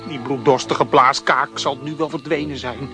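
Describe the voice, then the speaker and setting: A man's voice: medium pitch, fairly even intonation, moderate pace, close to an everyday voice. A man voicing a cheeky, lonely talking dog in a children's radio play, speaking with a Flemish accent and a wry, mocking manner. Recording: music playing under the voice.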